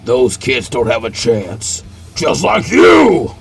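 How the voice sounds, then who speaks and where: A man voicing a brutish, boastful male villain who shouts in a slow, gravelly growl. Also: medium pitch, huge pitch swings, voice pushed down, exaggerated voice. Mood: raging.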